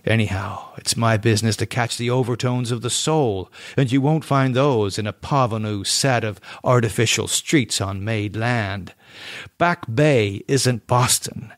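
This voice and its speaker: A man's voice: medium pitch, dry recording, studio quality, lightly acted. A man voicing a fervent, scornful painter obsessed with the macabre, declaiming with contempt in an audiobook horror story. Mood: scornful, intense.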